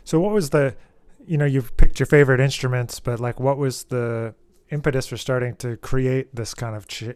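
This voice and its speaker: A man hosting an interview podcast, asking questions in a relaxed, friendly, loose way. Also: medium pitch, normal speed, clear, resonant tone, no echo. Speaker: podcast host. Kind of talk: casual interview chat.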